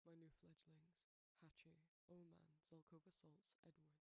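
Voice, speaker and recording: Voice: mid-range voice, completely flat delivery, measured pace, gravelly, slightly rough, poor audio. An audio creator delivering a scripted channel outro and reading out credits.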